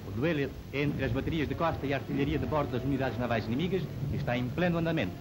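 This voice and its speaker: A man's voice: medium pitch, very fast, heavy background noise. A man announcing scripted wartime news in 1940s newsreel style, on an old film soundtrack.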